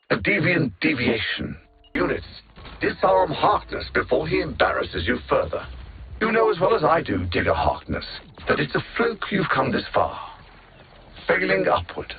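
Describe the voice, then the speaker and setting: An actor playing a cold, arrogant alien machine-intellect supervillain in a video game, haughty and sardonic with deliberate theatrical enunciation, through a synthetic radio-like filter. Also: male voice, medium pitch. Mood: scornful. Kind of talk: video game villain dialogue. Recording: narrow band-limited radio-like sound, music behind. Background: game music.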